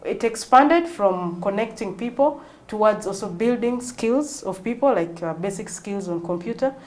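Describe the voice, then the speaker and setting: A woman in her early forties with a Ugandan accent, answering interview questions in a measured, explanatory way. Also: mid-range voice, lively intonation, a little mellow. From northwestern Uganda. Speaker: interview guest, non-profit executive director.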